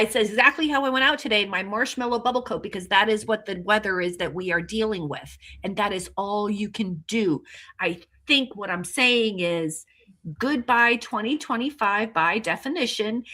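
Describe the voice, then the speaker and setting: A woman's voice: a little high, sharp tone. A woman in her sixties co-hosting a casual online chat, upbeat and motivational. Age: 60s. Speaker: co-host.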